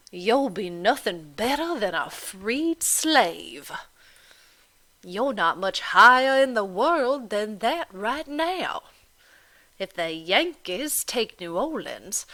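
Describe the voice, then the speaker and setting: A woman voicing a sneering, coarse landlady who bullies her tenant, loud and drawling. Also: mid-range voice, full character voice.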